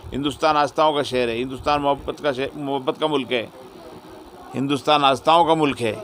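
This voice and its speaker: A male cleric answering in an interview, speaking reflectively in short phrases with pauses. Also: mid-range voice, fairly even intonation, fairly bright tone. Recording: faint vehicle and ambient noise.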